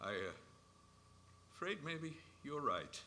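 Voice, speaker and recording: A man's voice: fairly high pitch, sing-song, slow, bright, forceful tone, heavily acted. An actor playing an earnest, kindly old man with old-fashioned speech, a Santa Claus figure in a stage radio play. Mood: dejected.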